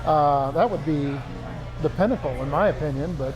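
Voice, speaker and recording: A man's voice: fairly high pitch, moderate pace, poor audio. A middle-aged man chatting casually as a sports panel guest, with loose, meandering phrasing. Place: restaurant sports bar. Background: music.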